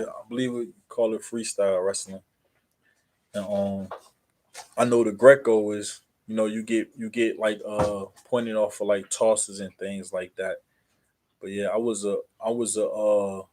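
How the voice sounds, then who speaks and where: American guy hosting a casual livestream, pretty monotone, rambles and repeats himself a lot. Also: fairly low pitch. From New Jersey, USA. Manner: halting, repetitive, filler-heavy rambling. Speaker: livestream host.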